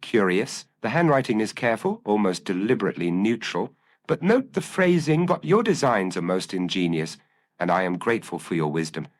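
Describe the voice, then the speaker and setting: Guy reading as shrewd victorian detective man, low voice, deliberate and formal, storybook dialogue. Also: expressive. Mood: intense, deductive. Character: shrewd, grave, commanding male Victorian detective.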